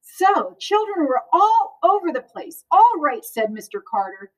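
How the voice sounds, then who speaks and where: A middle-aged woman reads a picture book to children in a high, swooping voice. She takes her time, stretching key words and slipping in little questions for her young listeners. The microphone sits some distance away from her. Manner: animated, sing-song, drawn-out emphasis with rhetorical questions to children. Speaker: teacher or librarian reading story time to pupils. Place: school library, indoors. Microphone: far camera across the room.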